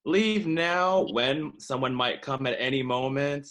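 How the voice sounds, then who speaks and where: A man's voice: medium pitch, measured pace, fairly bright tone, lightly acted. A man playing a catty, smirking, crude young man who taunts people, in a comedy table read. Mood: snide, taunting. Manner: taunting, snide.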